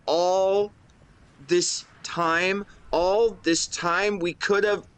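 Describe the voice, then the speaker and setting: Slow-spoken, earnest young man acted in love. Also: sits high, fairly even intonation, a bit bright, smooth, heavily acted. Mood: overwhelmed, anguished. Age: young adult. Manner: earnest, halting, emotional delivery. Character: shy young man tenderly confessing love to best friend. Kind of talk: romantic audio roleplay, intimate acting.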